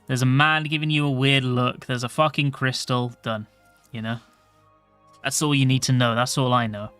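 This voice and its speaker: Soft-voiced man streaming casually. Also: medium pitch, steady tone, moderate pace, clear, resonant tone, soft background music. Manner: rambling, chatty, swears casually. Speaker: game streamer. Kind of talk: casual livestream chat.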